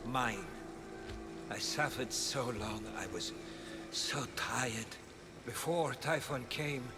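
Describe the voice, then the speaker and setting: A man playing a weary, tormented, brooding god of invention in a video game cutscene. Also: fairly high pitch. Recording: loud game music underneath.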